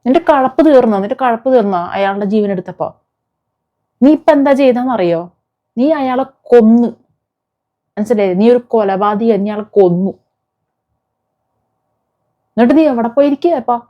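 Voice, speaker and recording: A woman's voice: on the high side, moderate pace, long pauses. A woman with a Kerala Malayalam accent giving informal, indignant commentary to viewers, scolding and posing rhetorical questions. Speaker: video commentator. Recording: dull, muffled lo-fi sound.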